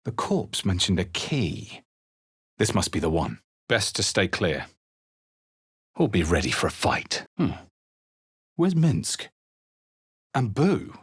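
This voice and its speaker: Video game adventurer: deep, rough-edged and close-recorded, watchful and wry, narrating with measured drama. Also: male voice, big pitch swings, dry recording, full character voice. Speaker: player character voice in a video game. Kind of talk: scripted game narration, barks and spell incantations.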